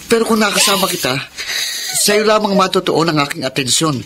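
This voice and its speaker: Pleading, weak-willed Filipino boyfriend. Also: male voice, high-pitched, animated, a little fast. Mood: pleading, defensive. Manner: hesitant, defensive. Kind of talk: Filipino radio drama acting. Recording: clearly noisy background.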